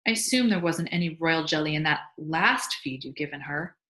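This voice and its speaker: A woman's voice: sits low, expressive, normal speed. Tense, fierce, tight-lipped young mother figure, voiced by a woman reader, bossy and scolding, in a roomy space. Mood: furious. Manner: sharp, ordering, scolding. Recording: roomy echo.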